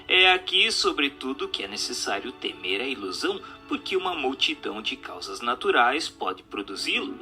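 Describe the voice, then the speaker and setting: A very bright, forceful Brazilian man narrates a written text with lively, wide-moving intonation. He articulates deliberately and stresses key phrases, over a music bed. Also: mid-range voice. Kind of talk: scripted audiobook narration.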